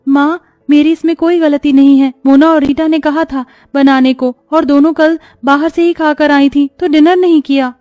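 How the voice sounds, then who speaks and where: A woman's voice: high-pitched, steady tone, moderate pace, hardly any pauses, dark tone, no echo, clean studio sound, faint music behind, lightly acted. A woman voicing a meek, overworked young stepdaughter in a melodramatic storybook tale, timid and pleading. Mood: timid, defensive.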